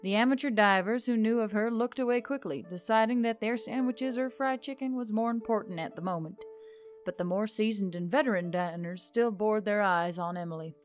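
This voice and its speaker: A woman's voice: medium pitch, fairly quick. Woman narrating fiction over faint music. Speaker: story narrator. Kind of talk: expressive scripted fiction narration. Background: background music.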